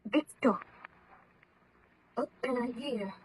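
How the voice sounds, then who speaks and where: An actress playing an anxious, devoted wife in an old radio horror drama, earnest and theatrical with formal diction. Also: female voice, on the high side, slow, a little hiss or hum, low-quality recording. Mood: terrified. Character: anxious, devoted wife, frightened but soothing.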